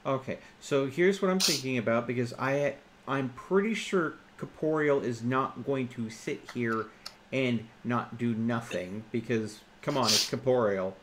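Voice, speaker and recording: A man's voice: medium pitch, measured pace, background noise. A man playing a tabletop roleplaying game, talking casually with the other players.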